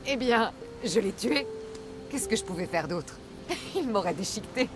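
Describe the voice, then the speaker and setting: A woman playing a bold, self-assured mercenary hero in a video game, with a warm, teasing delivery. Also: mid-range voice, lively intonation, normal speed, lightly acted. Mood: confident, playful. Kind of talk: dramatic game dialogue. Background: background music.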